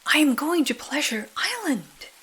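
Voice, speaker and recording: A woman's voice: sits high, big pitch swings, a little slow, sharp tone, pitched above the performer's own voice, exaggerated voice. A woman voicing a naive, eager wooden puppet boy in a bedtime story, childlike and earnest. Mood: eager, puzzled. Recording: rain falling behind the voice.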